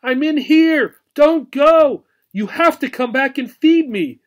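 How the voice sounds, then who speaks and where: A man's voice: very high-pitched, expressive, takes it slowly, pitched above the performer's own voice, full character voice. A man voicing a sad, hungry little female pet gerbil in a plaintive, wailing manner for a children's storybook. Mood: pleading.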